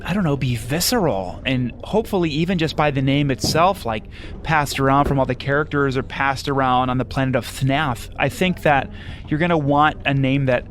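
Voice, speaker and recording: A man's voice: medium pitch, moderate pace, fairly bright tone, slight background noise, natural voice. A man playing an earnest, smugly oblivious sci-fi screenwriter in a comedy sketch, hesitant and repetitive. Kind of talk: comedy sketch dialogue, pitch meeting.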